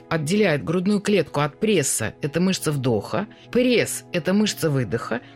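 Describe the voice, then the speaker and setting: Low, animated woman speech teacher. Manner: demonstrative, explains while showing voice techniques. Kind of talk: radio interview.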